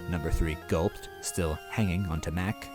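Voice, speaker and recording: A man's voice: sits low, fairly even intonation, moderate pace, darkish tone, faint music behind. A man narrating a first-person story expressively as a reader.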